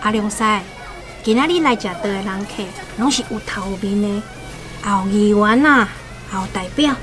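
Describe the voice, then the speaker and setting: An actress with a Taiwanese accent playing a bossy village wife hosting a banquet in a radio drama. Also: female voice, mid-range voice, normal speed, fairly bright tone, faint music behind, close to an everyday voice. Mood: fussy, urging.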